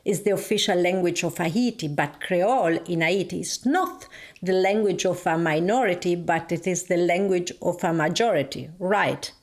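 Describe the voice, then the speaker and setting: A woman interviewer asking questions in a measured way. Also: on the low side.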